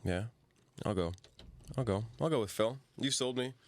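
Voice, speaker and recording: A man hosting a podcast, chatting casually and relaxed with his guest. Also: mid-range voice, normal speed, no room sound. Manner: relaxed, brief affirmations. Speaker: podcast host. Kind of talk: casual interview chat.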